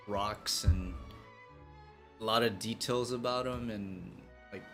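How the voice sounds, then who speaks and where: A young man chatting casually on a live game stream in a halting, rambling way, as a streamer talking to viewers. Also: lowish voice. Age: young adult. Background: game music behind the voice.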